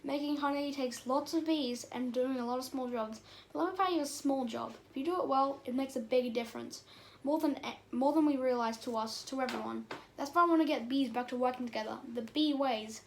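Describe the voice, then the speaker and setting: A brave little male honeybee with a high voice, acted out by a woman reading a story. He is excitable and bossy, urging everyone on with emphatic commands. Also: brisk, a little hiss or hum. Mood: earnest.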